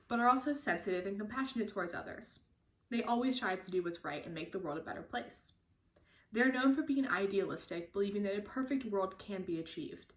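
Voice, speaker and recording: A woman's voice: mid-range voice, fairly bright tone, roomy sound. A teenage girl earnestly reading a prepared personal statement for a scholarship application. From United States. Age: teens.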